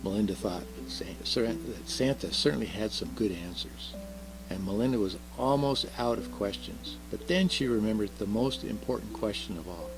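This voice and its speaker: A man reading a children's story aloud as an expressive narrator. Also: lowish voice, normal speed, poor audio. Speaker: story reader. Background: music under the reading.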